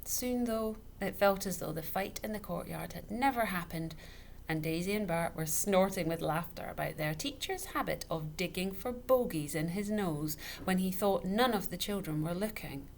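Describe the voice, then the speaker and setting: Woman storyteller, slightly low voice, expressive scripted narration, dramatic emphasis, noticeable background noise. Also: moderate pace, creaky. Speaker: storyteller reading a children's book.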